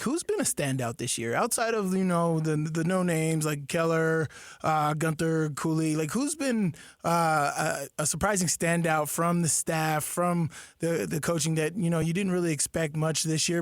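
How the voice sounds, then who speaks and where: A man co-hosts sports radio and asks easygoing, meandering questions. His fairly high voice sounds dry and close to the mic. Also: no echo. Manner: laid-back, hesitant questions with fillers. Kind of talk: casual sports talk. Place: radio studio.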